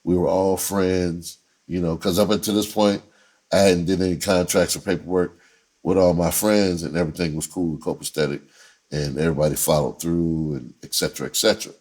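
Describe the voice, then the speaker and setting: Middle-aged male storyteller, low-pitched and raspy, loose slangy delivery, off-the-cuff and meandering. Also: steady tone, a little fast, a little mellow. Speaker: entertainer and filmmaker telling his own story. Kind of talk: casual storytelling.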